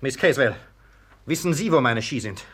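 An actor playing a brisk, officious police sergeant in a 1950s German-language radio play. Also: male voice, medium pitch, expressive, a bit bright, slightly rough. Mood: stern, urgent. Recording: 1950s radio drama recording.

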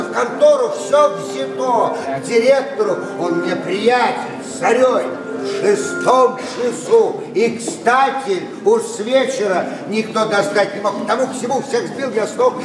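An actor playing an obsequious, boastful society swindler with an ingratiating, theatrical manner in a verse stage comedy. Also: male voice, high voice. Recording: distant echoey stage, loud music.

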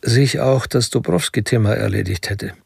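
Creaky male crime-story narrator. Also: medium pitch, moderate pace, no echo, clean studio sound. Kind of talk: literary narration in a radio crime play. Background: music.

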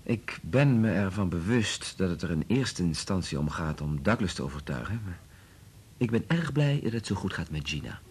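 Gentle, husky male researcher in radio drama. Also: deep voice, sing-song, moderate pace, heavily acted. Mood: warm, earnest. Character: gentle, earnest male researcher, considerate and puzzled. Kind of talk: Dutch radio drama acting.

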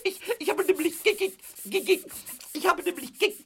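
A man voicing a cheeky, excitable little male goblin in a 1970s radio play, stammering and wailing dramatically with a lilt. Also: very high voice, moderate pace. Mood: panicked.

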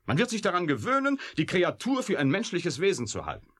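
A man's voice: sits high, wildly swooping pitch, a little fast, fairly bright tone, breathy edge, exaggerated voice. An actor playing a cynical, hot-headed male scientist in a science-fiction radio play, blunt, sarcastic and emphatic. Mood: urgent, defiant. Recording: noisy vintage radio drama recording.

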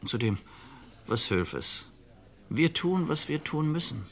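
An actor playing a calm, resigned middle-aged fugitive in an old radio crime drama, measured and reflective. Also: male voice, fairly high pitch, gravelly, heavily acted. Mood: weary, philosophical.

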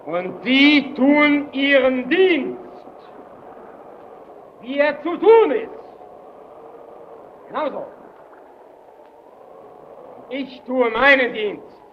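An actor playing a brooding, self-righteous lighthouse worker in a German radio play, deliberate and solemn. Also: male voice, very high voice, exaggerated voice. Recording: dull old radio recording, faint noise.

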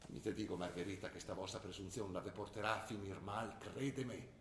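A man's voice: deep voice, steady tone, a little fast, fairly bright tone, slight background noise. An older man playing an ill, worried father who lectures and pleads with his daughter, in an earnest manner. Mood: grave. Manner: earnest, lecturing, rising to anguished pleas. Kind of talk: stage drama acting. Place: theatre stage. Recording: roomy stage recording.

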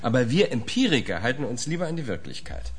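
An actor playing a brisk empirical scientist in a radio drama, assertive and pointed, fond of rhetorical questions. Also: male voice, medium pitch, big pitch swings. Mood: indignant, pointed.

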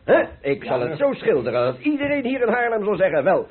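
A boisterous Golden Age painter haggles and boasts in a radio play. His husky voice is fairly high and swings widely, full of exclamations and proud bluster. The old broadcast recording sounds muffled. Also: big pitch swings, brisk, exaggerated voice. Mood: expansive, persuasive. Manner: jovial haggling, theatrical exclamations and chuckles. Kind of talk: Dutch radio play acting.

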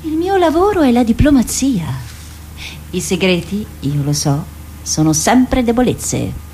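Swooping, scornful diplomat. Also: female voice, on the high side, very animated, unhurried, noisy background. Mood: evasive, urgent. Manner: theatrical, dismissive, insistent. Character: scornful, anxious female diplomat, evasive and persuasive. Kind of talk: radio drama acting.

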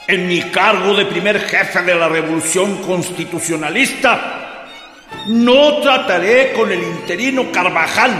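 A man playing a stern, pompous revolutionary chief, declaiming a proclamation in a radio drama. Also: high voice. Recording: echoey hall with long reverb.

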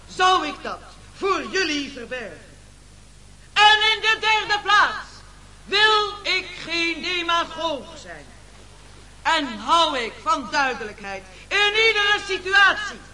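Een acteur die in een hoorspel een botte, soldateske demagoog speelt die met nadruk een nederige toespraak houdt. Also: male voice, very high voice, pushed and bright, heavily acted, low-quality recording. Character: blunt, soldierly demagogue feigning humility in a speech. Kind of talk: Dutch radio play acting, speech-making.

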